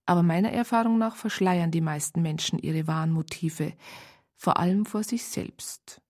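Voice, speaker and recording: An actress playing a reflective Bavarian woman who narrates a case in a radio drama, husky and measured. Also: lowish voice, darkish tone, lightly acted. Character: reflective female Bavarian practitioner recounting a case.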